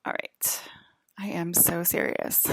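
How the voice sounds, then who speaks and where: A woman's voice: slow pace, no room sound. A woman in her forties from New Jersey, rambling through a casual, chatty monologue that keeps breaking off and slipping into whispered asides. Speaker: vlogger.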